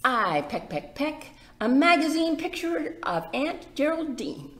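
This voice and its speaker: A woman's voice: sits high, very animated, a little slow, fairly bright tone, pitched above the performer's own voice, full character voice. A woman voicing an eager, playful young woodpecker in a bouncy, singsong picture-book read-aloud. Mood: busy, gleeful. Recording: echoey room with background noise.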